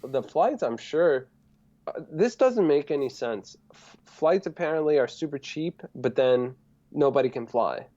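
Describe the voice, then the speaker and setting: Male guest, US-raised, grew up speaking Greek at home; relaxed, rambling live-stream talker, slightly muffled audio. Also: fairly high pitch, measured pace. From United States. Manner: relaxed, rambling, laughs easily. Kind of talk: casual live-stream chat.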